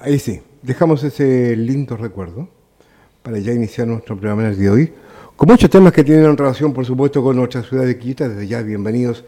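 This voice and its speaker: Chilean man hosting radio, mellow voice. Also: mid-range voice. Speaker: radio host. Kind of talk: live local radio interview.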